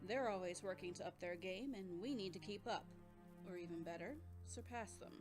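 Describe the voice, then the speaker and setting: Brisk, formal female weapons scientist played by an actress, composed and persuasive, slightly low voice, clear music underneath. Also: close to an everyday voice. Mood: composed, businesslike. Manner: formal, matter-of-fact, persuasive. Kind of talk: audio drama acting.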